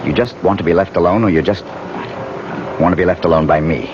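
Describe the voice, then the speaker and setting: A man's voice: deep voice, quick pace, lightly acted. An American actor playing a pushy, brooding lodger in a radio drama, blunt and insistent in his delivery. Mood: insistent, wounded. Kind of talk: 1970s radio drama acting. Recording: dull, noisy 1970s radio broadcast recording.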